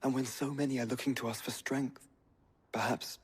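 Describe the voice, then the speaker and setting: A man acting a proud, troubled nobleman in a fantasy video game, with a husky, breathy voice and formal phrasing. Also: mid-range voice, fairly even intonation, normal speed, natural voice. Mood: apologetic, weary.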